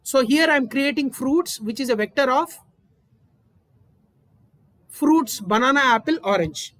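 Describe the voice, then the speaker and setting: High-voiced woman teaching coding. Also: unhurried, no room sound. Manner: steady step-by-step explaining, frequent "right?". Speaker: instructor. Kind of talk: screen-recorded coding lesson.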